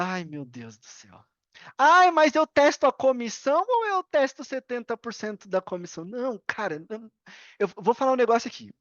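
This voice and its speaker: A woman's voice: medium pitch, dry recording, lo-fi sound. A Brazilian woman speaking Portuguese as a podcast guest, explaining casually and emphatically with examples and questions to herself, opinionated and repeating key points. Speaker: podcast guest, affiliate marketer.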